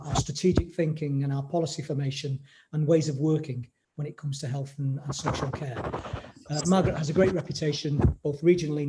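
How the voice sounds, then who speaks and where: A man's voice: on the high side, heavy background noise. A man introducing a speaker at a webinar over a video call, hesitant and repeating words. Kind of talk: event introduction.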